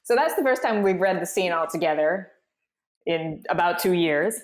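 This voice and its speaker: A woman hosting as a voice director, narrating and explaining in a clear, articulate way. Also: on the low side, expressive, moderate pace. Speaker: voice director and host.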